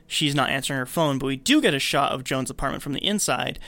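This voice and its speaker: A man hosting a podcast, casually retelling a film's story in an animated storytelling way. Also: mid-range voice, quick pace, no room sound. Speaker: podcast host recapping a film. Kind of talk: casual film recap narration.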